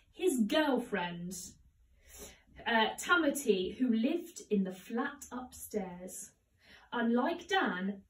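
A young woman, a teacher, reading a children's story aloud in a measured, expressive storytelling manner. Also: on the high side. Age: young adult. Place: home conservatory, indoors. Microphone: camera a few metres away. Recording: roomy echo, distant microphone.

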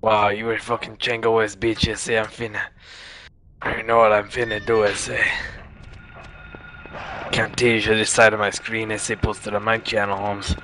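A man's voice: on the low side, level tone, moderate pace, long pauses, sharp tone, a little hiss or hum. A man putting on a swaggering street gangster. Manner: strained put-on voice, repeated street-slang tags. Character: swaggering tough-talking male street gangster. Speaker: gamer. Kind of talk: gameplay commentary in a put-on character voice.

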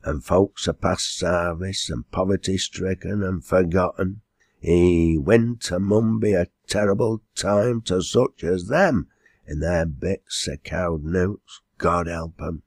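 A plain-spoken Northern English landlady from a storybook, acted by a male reader. She is bossy and tender-hearted by turns. Her speech is unhurried and homely, with little room sound. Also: sits low, vocal fry, dry recording, clean studio sound, full character voice. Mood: pitying.

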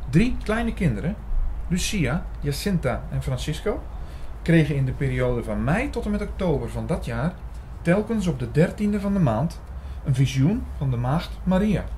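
Man playing a mellow, doubtful investigator narrator. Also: mid-range voice, slight background noise, natural voice. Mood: explaining. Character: puzzled, suspicious male investigator narrating his story. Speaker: narrator and lead actor. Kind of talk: scripted audio drama narration.

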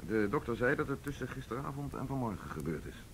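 A man playing a brisk, businesslike police detective in a Dutch radio drama. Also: sing-song. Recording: noisy old radio drama recording, rumble.

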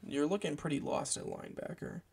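A young man with a gravelly voice, hoarse from a cold, giving casual football draft commentary from a small room at home, rambling with lots of fillers. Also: fairly high pitch, wildly swooping pitch, brisk, darkish tone. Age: young adult. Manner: meandering, filler-heavy, self-correcting.

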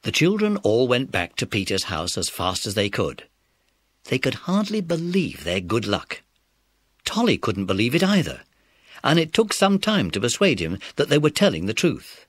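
A man's voice: medium pitch, huge pitch swings, moderate pace, dry recording. A British man narrating a scripted children's story.

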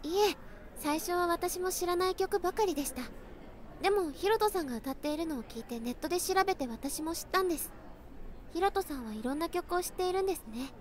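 A woman playing a polite, earnest teenage high school girl in an amateur audio drama, speaking in courteous, formal phrasing. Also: animated, fast talker. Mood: polite, interested.

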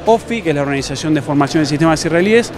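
Argentine man, thirties, instructor interviewed in a gym, slightly high voice, music behind. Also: animated, racing. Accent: Argentine Spanish. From La Plata, Argentina. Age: 30s. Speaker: self-defense instructor being interviewed. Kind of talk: spontaneous interview answers. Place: large busy gym hall, indoors. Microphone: handheld reporter microphone.

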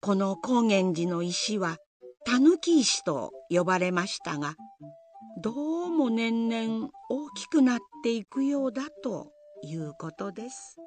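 A woman's voice: fairly high pitch, big pitch swings, takes it slowly, darkish tone, soft background music. A woman narrator telling a scripted folk tale in a storytelling style.

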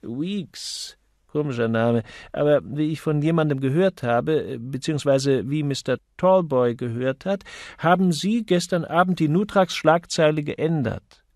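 Man acting a jovial, teasing office boss, lifted pitch. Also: a little slow, a little mellow, no echo, clean studio sound. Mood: brisk, probing. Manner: breezy, laughing, bantering. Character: jovial, teasing male advertising agency boss.

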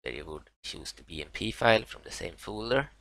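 Low, gravelly Swedish man. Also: animated, pushed and bright, dry recording. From Sweden. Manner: halting, many fillers and restarts. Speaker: tutorial maker. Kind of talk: screen-recorded software walkthrough.

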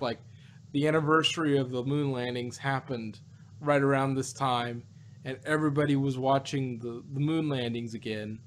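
Man chatting over clear background noise. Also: medium pitch. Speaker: review podcast panelist. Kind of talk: casual review chat.

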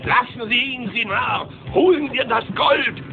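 An actor playing a dangerous, gold-obsessed cartoon villain captain, gravelly and menacing, on lo-fi tape. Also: male voice, very high-pitched, measured pace, a little hiss or hum, heavily acted. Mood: greedy, furious. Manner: menacing, fanatical. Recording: tinny lo-fi cassette.

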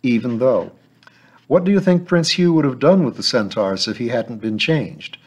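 A man voicing a cheerful, quick-witted adult male agent, slightly brighter than his narrating voice. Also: medium pitch, expressive, breathy edge, highs cut off. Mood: breezy. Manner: cheerful, breezy. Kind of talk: audiobook character voice.

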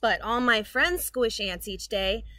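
A woman's voice: a little high, lively intonation, measured pace, pushed and bright, no room sound. A young woman reading the part of a big, boastful, bossy boy in a storybook, with a taunting, emphatic delivery. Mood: accusing. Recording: outdoor ambient noise.

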